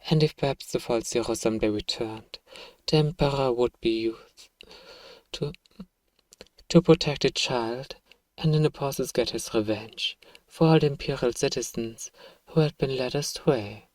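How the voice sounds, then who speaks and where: A man playing a self-righteous evil emperor, softly and coaxingly telling a bedtime story to a child in an ASMR roleplay. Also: mid-range voice, normal speed, no echo, close to an everyday voice, rough recording. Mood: self-righteous narrating.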